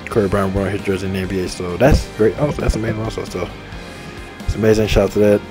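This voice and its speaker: Young man in his twenties, low voice, upbeat rambling gamer talk, faint game music under it. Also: steady tone, fast. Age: 20s. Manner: repetitive, upbeat, enthusiastic shout-outs. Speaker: gaming channel host. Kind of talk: rambling casual monologue over game menu. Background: faint game menu music.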